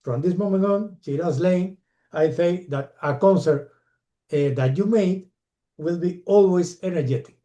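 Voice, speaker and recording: A man who is not an English speaker asks interview questions in a halting way, repeating words. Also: high-pitched, dark tone.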